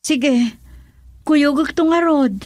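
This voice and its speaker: An anxious, peacemaking wife in an Ilocano radio drama. Her voice is high and earnest, captured in a dry studio. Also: slow, darkish tone, dry recording. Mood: anxious, pleading. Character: anxious wife mediating between father and husband.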